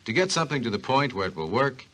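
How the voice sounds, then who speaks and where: A man's voice: medium pitch, very animated, quick pace, bright, forceful tone. An American man playing a curious, earnest interviewer and host in a scripted 1940s radio program. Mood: impressed. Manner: earnest, folksy questioning. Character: eager, curious male interviewer, easily impressed. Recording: rough 1940s radio recording.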